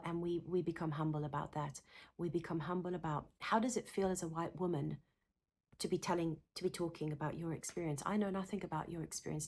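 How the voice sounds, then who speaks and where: This is a woman with a South African accent and a lowish voice. She teaches trainee therapists to camera in an earnest, passionate way, often doubling words for emphasis. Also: husky. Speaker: counsellor and psychotherapist. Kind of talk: direct-to-camera teaching talk.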